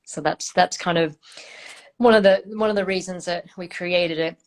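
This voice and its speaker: Low-voiced woman, thoughtful guest. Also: fairly even intonation. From Australia. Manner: thoughtful, measured, with hesitant fillers. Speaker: startup founder, guest. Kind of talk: interview answers.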